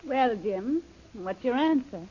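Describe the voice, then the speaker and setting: A scheming radio-serial villainess, swooping and husky. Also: female voice, on the high side, lively intonation, slow pace, full character voice. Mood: seductive, coaxing. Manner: coquettish, theatrical, imperious. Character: audacious scheming villainess, coolly seductive adventuress. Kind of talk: old-time radio serial acting. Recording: dull, lo-fi old radio recording.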